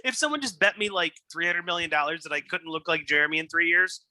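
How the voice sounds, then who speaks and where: Bright, high-voiced american man in his thirties. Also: expressive, quick pace, no room sound. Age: 30s. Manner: teasing, needling, freely swearing. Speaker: podcast co-host. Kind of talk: casual banter.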